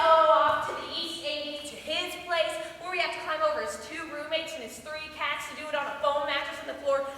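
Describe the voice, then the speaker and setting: A woman acting in an amateur stage scene as a sharp-tongued, guarded girlfriend with a sarcastic, defensive way of talking. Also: sits high. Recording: distant, echoey room with noise.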